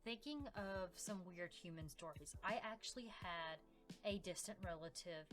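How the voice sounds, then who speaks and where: A woman's voice: lowish voice, moderate pace, a bit bright, faint music behind. A woman co-hosting a podcast in character as a fey demon, telling family stories in an informal, hesitant way. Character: fey demon woman gossiping about humans. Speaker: podcast co-host.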